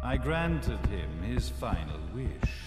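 A man's voice: medium pitch, very animated, takes it slowly. An actor playing a grandiose, coolly menacing zealot sage in a video game, pompous and theatrical in his phrasing. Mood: mocking, coolly menacing. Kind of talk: dramatic video game villain monologue. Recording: echoey hall, long reverb, music behind.

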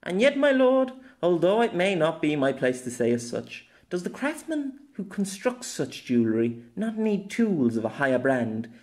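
A man's voice: a little high, very animated, moderate pace, hardly any pauses, a little mellow, voice pushed up. A man voicing a young noblewoman who reads aloud in a formal, declamatory way in a fantasy story. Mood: solemn. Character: proud young noblewoman reading a flowery plea aloud.

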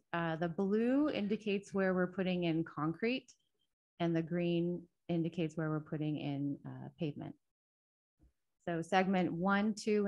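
A woman project manager giving a project update at a city council meeting, soft-spoken and hesitant with frequent ums, speaking into a table microphone in a council chamber. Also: on the low side. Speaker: state transportation project manager. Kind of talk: public meeting presentation.

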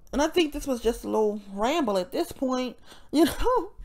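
A woman's voice: mid-range voice, a little mellow. A woman talking straight to her viewers in a loose, rambling vlog. Her voice is nasal and stuffed up from congestion, and her speech is peppered with profanity and short bursts of laughter. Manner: profane, rambling, frequent self-interrupting laughs. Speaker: vlogger. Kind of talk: casual rambling monologue.

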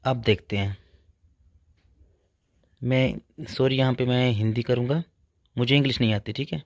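A man's voice: mid-range voice, moderate pace, no room sound, clean studio sound. A young man hosting a tech channel, walking through phone features step by step and leaning on the same filler phrases over and over. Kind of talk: casual phone tutorial.

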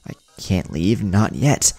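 A man's voice: on the low side, animated, slow pace, creaky, mellow, slightly rough, full character voice, rough recording. A man voicing an eager, studious young ninja in a storybook narration, earnest and explanatory. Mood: excited, pleading.

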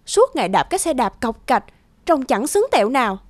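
A young woman voicing a talkative, materialistic friend in an animated story, lecturing and pushy. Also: high voice, a little fast, no echo. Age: young adult.

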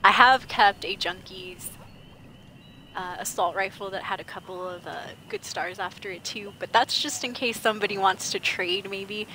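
A woman's voice: medium pitch, normal speed, bright, forceful tone, slight background noise. Chatty young woman streaming a game. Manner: chatty, thinking aloud while sorting loot. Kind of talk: casual gameplay commentary. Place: home gaming setup, indoors.

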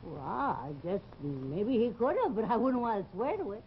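A woman's voice: on the high side, very animated, normal speed. An actress playing an eccentric older landlady, rambling, hesitant and fussy, in early television drama style. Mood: chatty, dithering. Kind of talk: 1950s live television drama acting. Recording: dull, noisy old television soundtrack.

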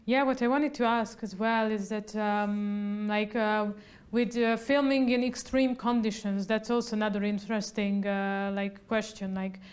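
A young woman interviewing a guest in a small indoor studio on a lapel mic, chatty with many fillers, trailing off softly at the ends of her lines. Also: mid-range voice, steady tone, unhurried, smooth. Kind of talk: festival interview.

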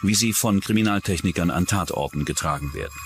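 A man's voice: sits low, quick pace, slight background noise. A man narrating a true-crime documentary in a scripted style. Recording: music under the voice. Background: background music.

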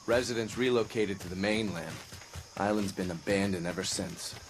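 A man's voice: on the low side, fairly even intonation, moderate pace, natural voice. A man playing a cocky, foul-mouthed American intelligence operative in a video game, with a wry, casual delivery. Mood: matter-of-fact. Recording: loud music and ambient noise behind.

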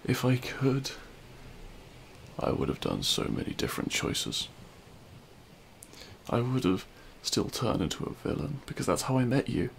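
A man playing a remorseful, self-loathing supervillain in an intimate audio roleplay, speaking in a halting, anguished way. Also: mid-range voice, huge pitch swings, vocal fry, a little hiss or hum, full character voice. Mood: pained remorse, then wistful regret. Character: remorseful male supervillain confessing love at a grave.

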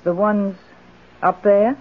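An actress playing a genteel, well-to-do middle-aged widow in a radio drama, gracious and expressive. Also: female voice, medium pitch, slow. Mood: questioning, wistful. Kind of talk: old-time radio drama acting. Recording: muffled, band-limited old radio broadcast.

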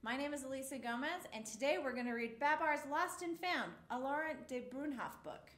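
A woman in her thirties reads a picture book to little children, her high voice bouncing all over with expression. The sound rings a bit in the small studio, picked up from across the room. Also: a little fast, reverberant. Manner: expressive, exclamations for the story. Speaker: storytime reader. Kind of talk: reading a picture book aloud to young children. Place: small indoor studio with a rainbow mural.

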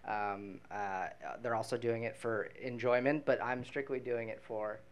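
A man in his thirties giving a casual, halting answer on a live panel into a handheld microphone. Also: mid-range voice, steady tone. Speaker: panelist, magician and mentalist. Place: small dim event venue stage.

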